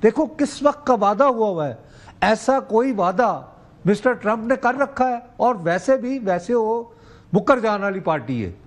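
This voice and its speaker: A Pakistani man argues as an analyst on a political panel. His voice sits notably high, and he makes his case through pointed rhetorical questions. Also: expressive, normal speed. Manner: argumentative, uses rhetorical questions. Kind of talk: political debate.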